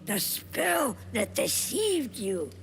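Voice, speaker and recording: A solemn, mystical female spirit delivers portentous guidance in a game cinematic. The voice sits fairly high and swings widely in pitch, with extremely drawn-out, emphatic pacing and a commanding gravity. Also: very animated, creaky, faint music behind, full character voice. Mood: solemn, urging. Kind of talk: dramatic animated game cinematic acting.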